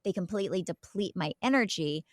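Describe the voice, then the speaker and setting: A woman's voice: fairly low pitch, expressive, a little fast, no room sound, studio quality. A woman co-hosting a podcast in casual, candid conversation. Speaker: podcast co-host.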